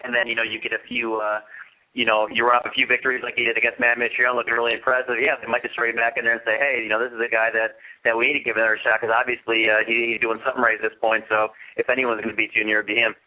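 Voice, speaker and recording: Fast, tense-voiced male radio co-host. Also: medium pitch, flat delivery, pushed and bright, rough recording. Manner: rambling questions with many restarts. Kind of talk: radio interview.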